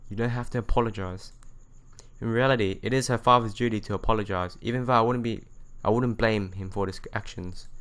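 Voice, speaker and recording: A man's voice: on the low side, a bit bright, clean tone. A man reading visual novel narration and dialogue aloud as a let's play host, stumbling over and rereading the odd phrase, with game music behind him.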